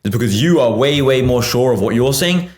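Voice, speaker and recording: A man's voice: mid-range voice, expressive, a little fast, darkish tone. A man, a podcast guest, tired after a long flight, explaining his views persuasively. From United Kingdom. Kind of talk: casual podcast conversation. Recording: roomy echo.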